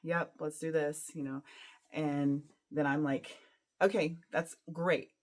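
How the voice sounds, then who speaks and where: A woman with a raspy voice from a cold, chatting casually to her camera at home while doing laundry, rambling and laughing often. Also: low-pitched, steady tone, slow, darkish tone. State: sick and tired. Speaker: vlogger and teacher. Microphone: camera some distance away.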